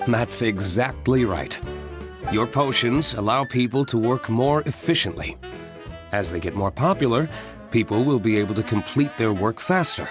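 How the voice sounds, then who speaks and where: An actor playing a composed, reserved young wine tycoon in an anime game, speaking in a measured, formal and cool manner. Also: male voice, medium pitch, animated, music in the background, lightly acted. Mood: measured explaining.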